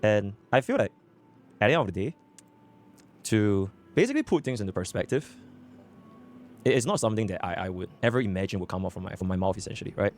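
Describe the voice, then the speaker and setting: A man's voice: on the low side, expressive, fast talker, pauses often, a little mellow, a little hiss or hum. A man explaining himself in a rambling, hesitant way, full of fillers and restarts. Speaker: content creator in a clip.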